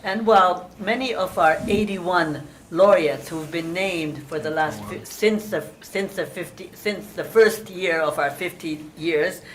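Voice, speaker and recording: An older woman with a Hungarian accent opens a panel in relaxed, unscripted remarks, poking fun at herself and circling back to restart sentences. Her voice is fairly low for a woman. A faint hiss sits under the live room. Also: unhurried, hardly any pauses. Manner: warm, self-deprecating, joking with restarts. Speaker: prize chair opening a panel.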